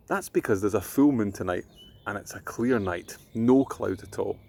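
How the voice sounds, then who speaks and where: A man voicing a gentle, knowledgeable mother who explains things patiently in a storybook. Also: fairly low pitch, normal speed, creaky.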